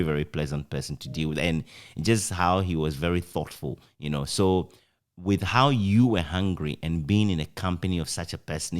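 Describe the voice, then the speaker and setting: Deep-voiced Ghanaian man, reflective. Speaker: interviewer, author reminiscing with former colleague. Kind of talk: conversational, reminiscing interview.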